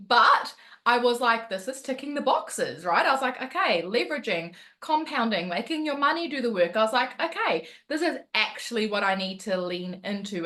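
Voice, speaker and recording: A woman with a New Zealand accent, a motivational coach telling her story on a video call, lively and laughing often. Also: medium pitch. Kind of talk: webinar talk on a video call.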